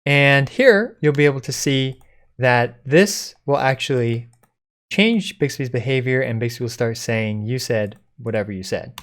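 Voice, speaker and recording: A man presenting a code-along software tutorial in an informal, step-by-step explaining way. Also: medium pitch, measured pace. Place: indoors at a computer, screen recording.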